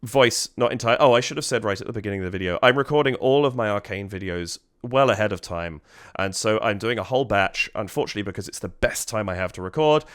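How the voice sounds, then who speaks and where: Low-voiced, slightly stuffy man. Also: fast talker, fairly bright tone, no echo, studio quality. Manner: admiring, thinks aloud, hums melody lines. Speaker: reaction video host. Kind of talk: casual music analysis commentary.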